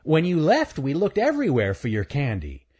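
A brash teasing critter bully with a mocking edge, voiced by a man. Pitched high and loud, a touch husky, talking down with smug taunts. Storybook telling. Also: wildly swooping pitch, normal speed, full character voice. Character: cocky teasing male animal bully.

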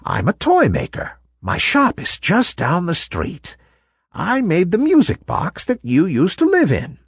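A man voicing a kindly old woman toymaker who speaks softly and soothingly in a storybook. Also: high voice, wildly swooping pitch, a little slow, slightly rough, dry recording, clean studio sound, voice pushed up, heavily acted. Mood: gentle. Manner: soft, soothing.

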